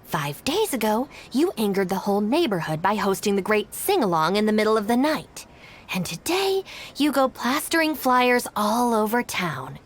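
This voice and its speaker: An actress playing a poised, responsible young gang deputy in a video game, speaking politely, formally and persuasively. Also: female voice, mid-range voice, very animated, moderate pace. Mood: stern, scolding.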